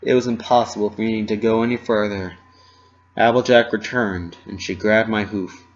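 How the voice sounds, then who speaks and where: Male story reader, lowish pitch, dramatic first-person narration, slightly muffled lo-fi sound. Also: normal speed. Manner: expressive, dramatic delivery. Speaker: reader of fan fiction.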